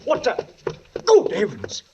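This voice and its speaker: High, animated police constable. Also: male voice, slow pace, noisy background, exaggerated voice. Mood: alarmed, dutiful. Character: earnest, deferential London police constable. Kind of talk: 1940s radio acting. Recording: muffled 1940s radio recording.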